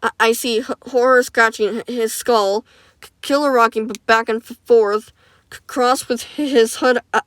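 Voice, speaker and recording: A small, frightened male skeleton stammers over nearly every word, pitched a little higher and softer as he weeps, in a woman's telling. The voice is clear and smooth, close and dry. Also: no echo, close to an everyday voice. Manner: heavy stutter, tearful.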